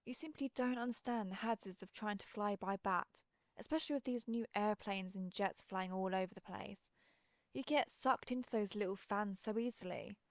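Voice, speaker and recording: Woman playing a creaky-voiced, chatty female vampire. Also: medium pitch, moderate pace, dry recording, lightly acted. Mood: chatty, playful. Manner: playfully grand, chatty, self-amused. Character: friendly, chatty, theatrical century-old female vampire. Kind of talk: scripted audio roleplay monologue.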